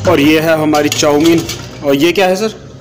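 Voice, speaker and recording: A man's voice: on the high side, fairly even intonation, moderate pace, music in the background. A young man giving a casual, chatty food vlog tour of a small restaurant, filming on a phone held at arm's length. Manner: chatty, addresses viewers as brother. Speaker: food vlogger. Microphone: handheld phone at arm's length.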